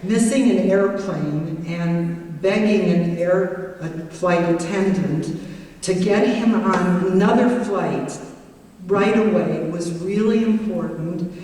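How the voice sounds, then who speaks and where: A woman's voice: lowish voice, distant mic. Woman telling stories in an echoey hall. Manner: warm storytelling with self-deprecating humor. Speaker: judge giving a tribute speech. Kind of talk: banquet tribute speech. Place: hotel banquet hall. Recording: echoey hall recorded from afar.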